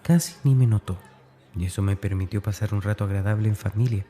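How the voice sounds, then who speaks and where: An actor playing a tormented schoolboy with a dark streak, confessing to his diary in a brooding, intimate horror audio drama monologue. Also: lowish voice, fast, lightly acted. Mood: weary, melancholic.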